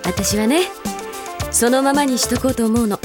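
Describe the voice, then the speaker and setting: A woman's voice: on the high side, normal speed, music in the background, lightly acted. An actress playing a composed, wistful elder-sister team leader in an anime audio drama, poised and ladylike. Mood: calm, resolved.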